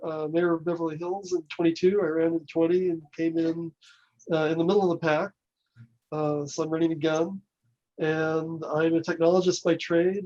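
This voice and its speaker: A man's voice: fairly high pitch, a little mellow, lo-fi sound. A man introducing himself as a candidate on a video call, breezy and self-assured. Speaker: mayoral candidate.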